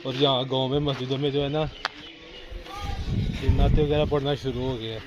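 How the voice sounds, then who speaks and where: A man in his thirties speaks with a tinge, chatty and teasing as he explains chores to his viewers. He is outdoors on a village rooftop with a phone held at arm's length, and the audio picks up clear background noise. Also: mid-range voice, little pitch movement, moderate pace, highs cut off. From Pakistan. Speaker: village vlogger. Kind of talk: casual vlog narration to camera. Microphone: phone camera at arm's length.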